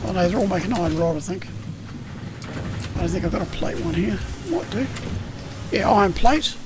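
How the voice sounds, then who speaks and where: Croaky high-voiced man explaining a game. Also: lively intonation, moderate pace. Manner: rambling, self-correcting, explanatory. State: slightly croaky voice. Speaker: streamer. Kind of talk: casual gameplay explanation. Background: game music.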